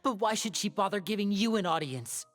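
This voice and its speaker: A woman's voice: medium pitch, expressive, a little fast, faint music behind, lightly acted. A woman playing a guarded, defiant young male magician in a video game, pointed and challenging. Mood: guarded, resolute.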